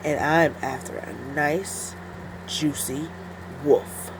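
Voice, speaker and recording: A woman's voice: low voice, steady tone, takes it slowly, noisy background, pitched below the performer's own voice. A woman voicing an ugly, menacing little boy creature in a storybook, savoring the words. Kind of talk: children's storybook read-aloud.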